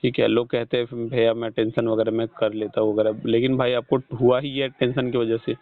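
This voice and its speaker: Thin phone-call audio, man, Indian accent, gravelly voice, health counsellor, didactic explaining, checks understanding. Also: mid-range voice, level tone, a little fast. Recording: muffled, band-limited phone call recording.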